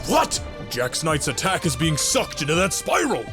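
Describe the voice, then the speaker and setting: A man's voice: a little high, huge pitch swings, moderate pace. A man voicing a determined, fiery teenage boy duelist with dramatic, declamatory delivery in a manga fan dub. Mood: fired-up, determined. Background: music.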